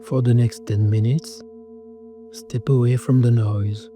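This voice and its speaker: A man narrating a guided meditation in a soothing, measured way, speaking calm scripted instructions in short phrases. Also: medium pitch, over music. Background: singing bowl tones.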